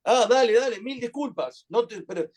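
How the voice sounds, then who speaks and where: A man's voice: very high-pitched, lively intonation, normal speed, a little mellow. A man with an Argentine accent leading an online class, speaking in an earnest, reflective way that halts at times. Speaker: teacher.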